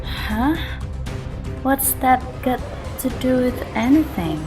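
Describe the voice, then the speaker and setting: A woman's voice: high voice, lively intonation, takes it slowly, music in the background. A woman playing a frightened, bewildered young female office worker in an audio drama.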